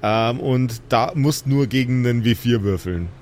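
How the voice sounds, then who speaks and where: A man's voice: mid-range voice, moderate pace, darkish tone, slight background noise. A man narrating and ruling a live improvised tabletop game as game master, in a vivid storytelling manner.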